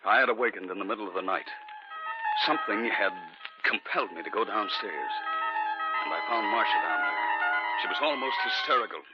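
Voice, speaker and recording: A man playing a deep-voiced husband narrator. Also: expressive, close to an everyday voice. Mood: uneasy. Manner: measured first-person narration. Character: calm husband narrating his eerie tale. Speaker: actor in an old radio drama. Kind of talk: 1940s radio acting. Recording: muffled old radio recording with music.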